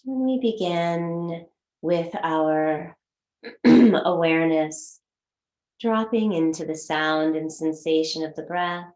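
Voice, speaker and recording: A woman with a low-pitched voice leads a guided meditation in a roomy, echoing space. She speaks in short, soothing phrases as a yoga teacher would, leaving long pauses for the listener to follow. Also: darkish tone.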